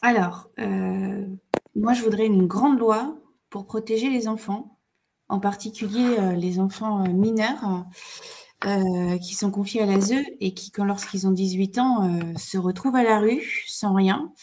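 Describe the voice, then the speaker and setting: Forties woman, political guest, halting casual talk, mid pitch. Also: a little mellow, slight background noise. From eastern France. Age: 40s. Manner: hesitant, many fillers, laughs easily. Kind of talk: casual chat on a live stream.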